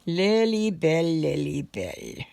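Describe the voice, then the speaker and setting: A woman talks in a low voice at a very slow pace, with some fry in it. She chats casually while crafting, pausing and wandering from thought to thought as if thinking out loud. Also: very animated, no room sound. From Canada. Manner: unhurried, halting, thinking aloud. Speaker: craft vlogger. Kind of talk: casual rambling chat while stitching.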